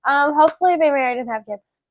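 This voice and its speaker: A woman's voice: sits high, quick pace, dry recording, lo-fi sound. An eighteen-year-old woman chatting informally as a podcast co-host, often dropping "like" into her sentences. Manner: informal, heavy use of "like". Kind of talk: casual podcast chat.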